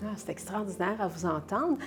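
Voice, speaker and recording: A woman's voice: medium pitch, animated, a little fast, music in the background. A woman hosting a broadcast interview, introducing her guest and asking questions with enthusiastic praise. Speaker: host.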